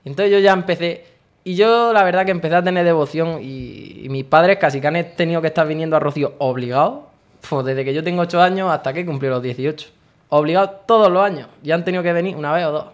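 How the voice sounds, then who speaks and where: Young Spanish man, late teens, earnest devout guest, voice a touch high. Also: fast talker. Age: young adult. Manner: earnest, devout, talks at length. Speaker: guest, brotherhood member. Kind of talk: radio interview.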